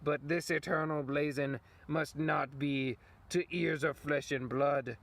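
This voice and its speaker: Man playing a slow, creaky, solemn royal ghost. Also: a little high, steady tone, a bit bright, full character voice. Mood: ominous. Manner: slow, drawn-out, declamatory. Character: solemn, suffering ghost of a murdered old king. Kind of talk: Shakespearean puppet show performance.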